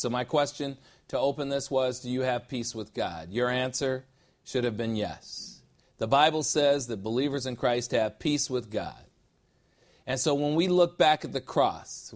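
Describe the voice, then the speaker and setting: A male pastor delivering an expository sermon, leaning hard on important words and drawing them out slowly. His voice rises as he builds toward each point. He also jokes lightly with the congregation. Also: mid-range voice. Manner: emphatic, stretches key words slowly for weight. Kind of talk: expository sermon teaching from scripture.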